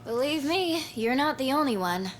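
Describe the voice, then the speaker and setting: A woman's voice: high voice, expressive, normal speed, a little hiss or hum. An actress playing a composed, coolly teasing young woman in a game cutscene, poised and casual. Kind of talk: dramatic game cutscene acting.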